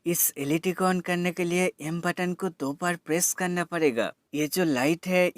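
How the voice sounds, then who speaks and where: A man's voice: high-pitched, no room sound, studio quality. A man giving a scripted product demo voice-over as a reviewer, explaining plainly step by step and mixing in English terms.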